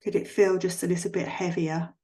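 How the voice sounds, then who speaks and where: Woman with a lowish voice doing guided relaxation, gentle and soothing, lots of long pauses. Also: fairly even intonation. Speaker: yoga nidra relaxation guide.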